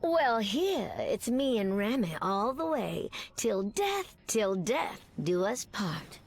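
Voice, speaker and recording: A proud Southern American superheroine for a video game. Her voice is on the high side and gravelly at the edges, swinging in pitch as she sasses and sweet-talks. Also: big pitch swings, slow, heavily acted. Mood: sassy, confident. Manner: sassy, folksy, uses pet names. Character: sassy, flirty, proud Southern superheroine, loyal wife.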